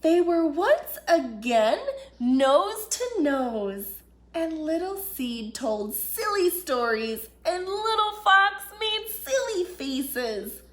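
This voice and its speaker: A woman reading a picture book aloud to children as an expressive storyteller. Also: very high-pitched, very slow. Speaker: children's storyteller, children's ministry director.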